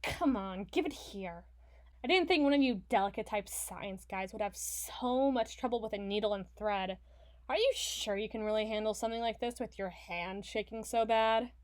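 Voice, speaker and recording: A woman's voice: mid-range voice, normal speed, darkish tone, natural voice. A woman playing a foul-mouthed, stubborn villain in an audio roleplay, blustering and sarcastic with her partner. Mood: gruffly softened. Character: brash, foul-mouthed, hot-tempered villain woman, gruffly soft on partner. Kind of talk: ASMR roleplay voice acting.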